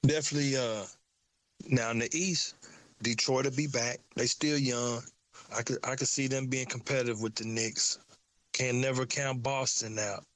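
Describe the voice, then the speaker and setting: A man with a Southern country accent co-hosting a casual sports talk show, getting animated as he talks. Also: normal speed, no room sound. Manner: animated, excitable, rises high when hyped. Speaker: podcast co-host.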